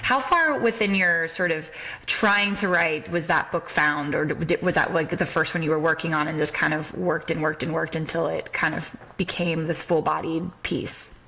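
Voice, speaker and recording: A woman's voice: sits low, steady tone, normal speed, creaky, pushed and bright, poor audio. A woman hosting a podcast, putting questions in a casual interview style.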